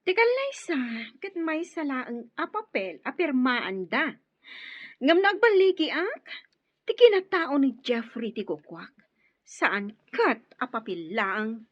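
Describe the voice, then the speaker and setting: A woman playing a possessive, scheming young woman in an Ilocano radio drama, with an Ilocano accent and drawn-out melodramatic delivery. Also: sits high, very animated, no room sound, highs cut off, heavily acted. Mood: defiant.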